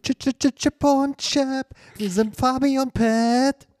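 A man's voice: very high voice, steady tone, takes it slowly, a little mellow, dry recording, clean studio sound, faint music behind. A young man co-hosting a casual podcast, joking and playful, sometimes dropping English words. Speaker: podcast co-host.

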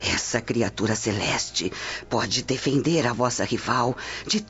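An actress playing a greedy old Roman sorceress in a radio drama, speaking in a formal, oracular manner. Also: female voice, rumbling low, unhurried, fairly bright tone. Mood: solemn, ominous. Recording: dull lo-fi radio drama recording with music. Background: music.

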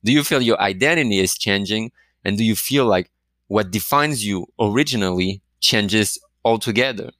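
A man's voice: lowish voice, normal speed, a bit bright, no echo. A man giving a reflective coaching talk, asking the listener many questions. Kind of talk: motivational talk to camera.

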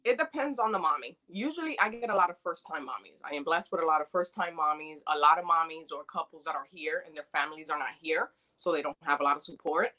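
A woman from New York, born in the Dominican Republic, talks earnestly with a bright, forward tone. Her pitch sits a little low for a woman. She chats freely and comes alive when she retells a moment. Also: quick pace, few pauses. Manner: earnest and chatty, animated retelling. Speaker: doula, guest. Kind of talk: casual interview conversation.

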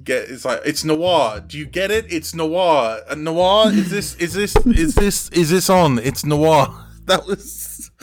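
A man chatting casually as a podcast guest, animated and halting, often repeating himself as he explains his writing. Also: on the high side, slight background noise. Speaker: podcast guest, audio fiction writer. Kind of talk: casual interview chat.

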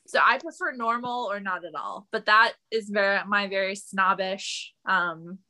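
A woman chatting casually as a panelist in a podcast discussion, speaking in an animated, emphatic way. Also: mid-range voice, unhurried.

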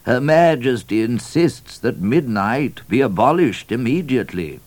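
A pompous colonial governor, as a man reading a story puts him on, speaks in drawn-out phrases with a lively rise and fall. He is fretful and easily swayed, a storybook official of some self-importance. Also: mid-range voice, no room sound, pitched above the performer's own voice, close to an everyday voice. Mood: anxious formality.

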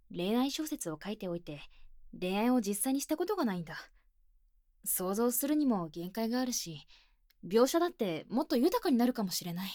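An earnest, bashful high-school girl, played by a woman speaks in an intimate binaural voice drama. Her voice is on the high side and very expressive in pitch. She uses stiff, boyish phrasing with shy hesitations, recorded close and dry. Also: no room sound. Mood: shy, hopeful. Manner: stiff, boyish phrasing, shy and halting, often dropping to a whisper. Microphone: close binaural microphone.

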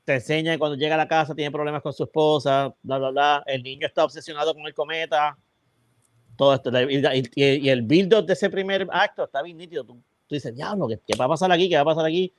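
A man's voice: on the high side, fairly even intonation, fast talker, no room sound. A man with a Puerto Rican accent, a podcast co-host retelling a film's plot in casual, rambling chat full of restarts. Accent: Puerto Rican Spanish. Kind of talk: casual film review chat.

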